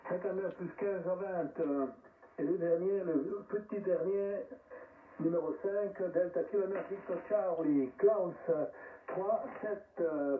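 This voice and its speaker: A man hosting an amateur radio net as controller, speaking haltingly over a radio transmission. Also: high voice. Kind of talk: ham radio net roll call. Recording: narrowband shortwave radio, distant, echoey, hissy.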